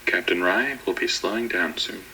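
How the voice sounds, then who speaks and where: A man plays a dutiful pilot commander aboard a spacecraft. His voice is very low with a forceful edge. He speaks calmly and procedurally, in a space drama manner. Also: moderate pace, vocal fry, slight background noise, full character voice, rough recording. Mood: businesslike. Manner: procedural, matter-of-fact. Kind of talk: analog horror space audio drama.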